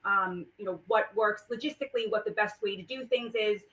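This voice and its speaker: A woman guest who speaks steadily and reassuringly, offering her team's help. Her tone is bright and crisp. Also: mid-range voice, brisk, rough recording. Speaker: event planning guest. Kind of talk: guest remarks on a live stream.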